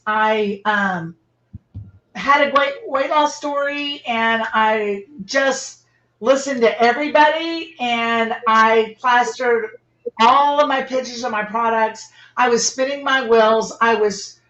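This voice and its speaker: A woman's voice: a little high, fairly bright tone, reverberant, muffled recording. A woman in her fifties, a branding coach speaking as a guest, with an eager, salesy way of talking. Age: 50s. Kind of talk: conversational promotional talk.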